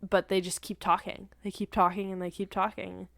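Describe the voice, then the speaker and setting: A woman's voice: medium pitch, flat delivery, brisk, creaky, a bit bright, no room sound, studio quality. A young woman co-hosting a casual podcast, laughing often and sounding a little nasal from a cold. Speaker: podcast co-host. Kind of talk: casual chat.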